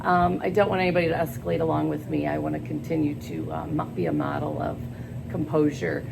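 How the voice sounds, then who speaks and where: An older woman answering job interview questions in an earnest, halting way, with many pauses and fillers. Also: low voice, expressive, creaky. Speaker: school staff job candidate. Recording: noisy background.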